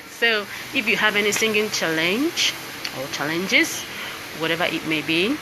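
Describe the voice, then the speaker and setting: A woman's voice: medium pitch, noisy background. A Nigerian woman, a singer and voice coach, talking casually to viewers on a live stream from indoors and often checking in with "all right?" and "you understand?". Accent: Nigerian English. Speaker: singer and voice coach presenting her book. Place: indoors with piano and laptop.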